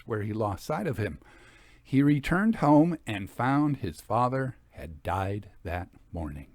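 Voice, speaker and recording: A man's voice: mid-range voice, darkish tone, no echo. Guy narrating old stories, mid voice that moves up and down a lot, articulate, super dry recording. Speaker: storytelling podcast host and reader. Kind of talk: scripted introduction and literary narration.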